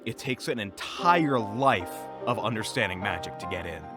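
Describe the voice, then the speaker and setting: A man playing a hapless exiled wizard in an audio drama, halting, flustered and defensive. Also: lowish voice, lively intonation, music in the background, natural voice. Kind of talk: audio roleplay drama acting.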